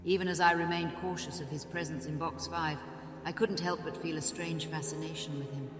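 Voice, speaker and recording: An actor voicing a dutiful, wary box keeper at an opera house, narrating in an earnest, measured way. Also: medium pitch, fairly even intonation, a bit bright, lightly acted. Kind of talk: audio drama monologue. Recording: echoey hall reverb with faint music.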